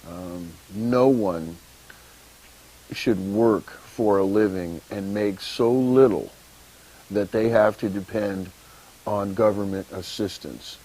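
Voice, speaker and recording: A man talking slowly and haltingly on an activist talk show, with many pauses and fillers. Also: lowish voice, warm tone, poor audio. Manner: slow, halting, many uhs and ums.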